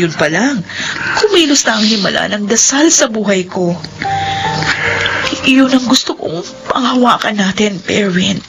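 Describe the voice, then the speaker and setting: A woman's voice: mid-range voice, rough recording. A woman playing a devout, hopeful pregnant young wife in a radio drama, speaking earnestly and tenderly, with pleading emotion.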